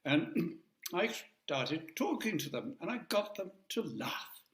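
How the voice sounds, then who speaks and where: Older male speaker, talkative and jokey, slightly high voice, roomy echo. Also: sing-song, slow pace, fairly bright tone. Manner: chatty, jokey, rambling with asides. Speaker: guest speaker. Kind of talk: informal talk to an audience.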